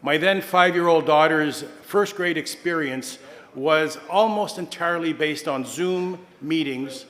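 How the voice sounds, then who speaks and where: A man's voice: fairly high pitch, fairly bright tone. Man moderating a panel in a reverberant hall. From Kenya. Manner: measured, with frequent "uh" fillers and self-corrections. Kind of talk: formal conference moderation. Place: large conference hall.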